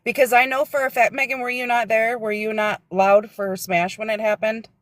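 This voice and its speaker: A woman's voice: on the high side, little pitch movement, moderate pace, no echo. A woman, a guest on a livestream, speaking earnestly and lecturing, sometimes searching for her words. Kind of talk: casual livestream chat.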